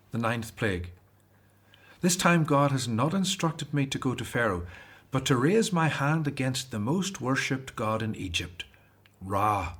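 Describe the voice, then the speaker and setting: Male actor as devout Hebrew prophet, earnest, solemn, slightly husky, mid-pitched, radio drama. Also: close to an everyday voice. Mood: solemn, resolute.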